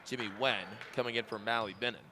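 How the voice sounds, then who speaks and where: A man's voice: medium pitch, steady tone, fast. A man giving live basketball play-by-play as the announcer. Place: indoor basketball gym. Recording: noisy gym, bouncing balls. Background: loud game noise, ball bounces.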